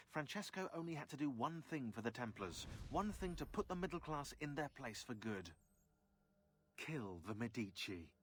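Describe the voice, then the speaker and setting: An actor playing a sardonic historian narrating a biography in a video game, with a dry delivery. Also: male voice, medium pitch, a little hiss or hum, lightly acted.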